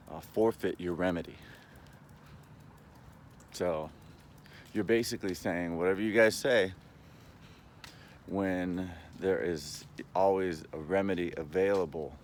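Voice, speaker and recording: Slow, low-voiced man explaining things haltingly. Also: slight background noise. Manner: halting, explanatory, checks with "okay?". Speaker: vlogger. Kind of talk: rambling casual monologue to camera.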